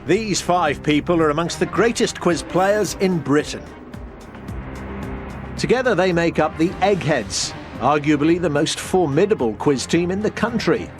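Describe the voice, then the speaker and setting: A man delivers a scripted television intro in a fairly high-pitched voice. Clear music plays behind the narration. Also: expressive, a little slow, long pauses. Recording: prominent theme music behind voice.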